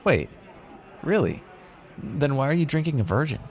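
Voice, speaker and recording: A man's voice: on the high side, sing-song, moderate pace, a little mellow. A man playing a flirtatious, sardonic vampire in an ASMR roleplay, talking in a casual, teasing way with a hint of menace. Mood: playful, teasing. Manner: teasing, wry, casual conversational delivery.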